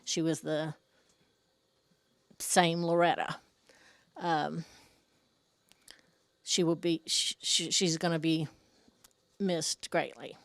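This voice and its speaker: A woman gives a halting personal remembrance at a slow pace. Her voice is smooth and slightly low, and she pauses and restarts often in a dry, close recording. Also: fairly even intonation, no room sound. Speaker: relative giving a tribute.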